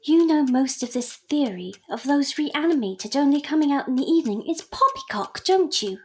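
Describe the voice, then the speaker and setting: Her voice sits high, with careful formal wording. It is a woman acting a perceptive, prim young daughter of a Victorian family, speaking earnestly in an audio drama. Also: expressive, normal speed. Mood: prim, teasing.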